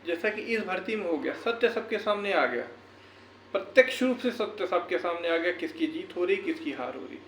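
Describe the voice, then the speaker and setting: A man's voice: high-pitched, lively intonation, a little fast. A man speaking to viewers in an earnest, exhortative way, repeating phrases for emphasis in a motivational talk. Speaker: YouTuber and educator. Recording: echoey room, faint background noise.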